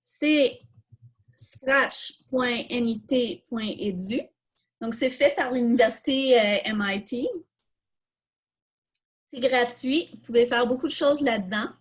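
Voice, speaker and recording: A woman's voice: on the high side, takes it slowly, long pauses, breathy edge. A woman with a Quebec French accent hosting a live online coding workshop, informally thinking aloud and answering questions as she demonstrates. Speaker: workshop host.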